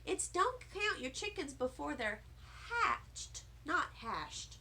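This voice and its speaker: A woman's voice: sits high, sing-song, slow, pushed and bright, slight background noise, full character voice. A woman reading a children's audiobook as a weary old grandmother who is mildly annoyed, dry and resigned. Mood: gently correcting. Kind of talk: children's audiobook read-aloud.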